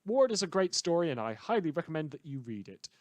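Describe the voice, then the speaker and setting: A man's voice: a little high, very animated, fast talker, a little mellow, no room sound. A man giving casual spoken credits for a fan audio production, wry and correcting himself as he goes. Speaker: fan audio drama creator.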